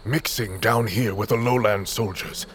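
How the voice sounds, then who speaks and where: A man's voice: on the low side, steady tone, moderate pace, a bit bright, a little hiss or hum, lightly acted. An actor playing a stern, contemptuous middle-aged lord and domineering father in a fantasy audio drama, speaking in a formal, commanding manner. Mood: cold, scornful.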